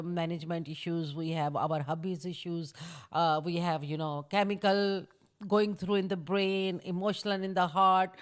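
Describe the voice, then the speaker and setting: Middle-aged Pakistani-born mother, second-language English, blunt, code-switching. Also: fairly low pitch. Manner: blunt, mixes Hindi-Urdu into English. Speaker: podcast co-host, the host's mother. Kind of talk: casual chat.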